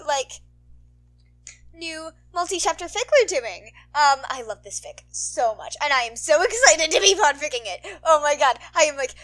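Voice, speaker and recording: An excitable woman with a high, bright voice talks casually to her listeners. She rambles and gushes in slangy speech full of "like". It sounds like an informal host's chat. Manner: gushing, excitable, slangy, frequent "like". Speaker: podfic reader and host.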